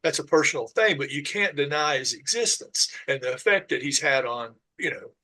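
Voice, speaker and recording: A man, a podcast guest talking casually and haltingly, with many "uh"s and "you know"s. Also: on the high side, lively intonation, bright, forceful tone. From Southern US. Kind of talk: casual conversation.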